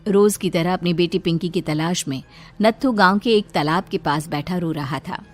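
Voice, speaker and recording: A woman's voice: fairly low pitch, normal speed, soft background music. A woman narrating a scripted children's story as storyteller.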